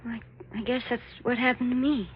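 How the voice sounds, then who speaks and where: An actress playing a seductive, menacing femme fatale in a 1940s radio horror mystery, cool, cryptic and coaxing. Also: female voice, on the high side, fairly even intonation, brisk, breathy edge. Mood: tender. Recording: dull old radio recording.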